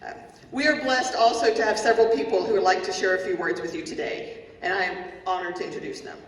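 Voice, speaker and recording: A woman's voice: medium pitch, fast. A woman with a Texas accent emceeing a ceremony, introducing guests with friendly joking asides and small stumbles. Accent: Texan. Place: large indoor hall. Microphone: podium mic. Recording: echoey hall from far off, crowd noise.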